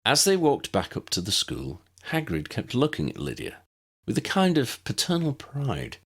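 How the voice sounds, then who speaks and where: A man's voice: medium pitch, huge pitch swings, normal speed, breathy edge. A man reading a story aloud as a scripted audiobook narration.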